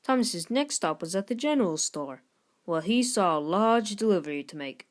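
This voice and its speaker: A woman with a mid-pitched voice narrates a children's storybook. She reads the scripted text expressively, as one would to young listeners. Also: moderate pace. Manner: expressive, lifts voice for character dialogue. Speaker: storybook reader.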